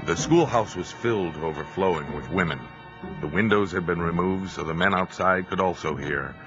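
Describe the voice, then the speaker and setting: An actor playing an earnest, unyielding preacher in an audio drama, speaking in a formal, fervent, declamatory way. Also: male voice, sits low, moderate pace, natural voice. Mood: solemn narrating. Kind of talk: audio drama acting with first-person narration. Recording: muffled audio drama with music bed.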